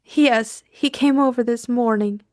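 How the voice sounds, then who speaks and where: A woman's voice: a little high, unhurried, a little mellow, dry recording, studio quality, pitched above the performer's own voice, natural voice. A woman voicing a clinging, tearful widow in an audiobook, plaintive and pleading in a melodramatic way. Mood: sobbing. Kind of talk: audiobook character acting.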